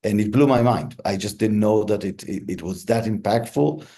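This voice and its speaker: A man in his forties from Israel, answering interview questions in a relaxed storytelling way with frequent ums and restarts. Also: on the low side, moderate pace. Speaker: interview guest, negotiator.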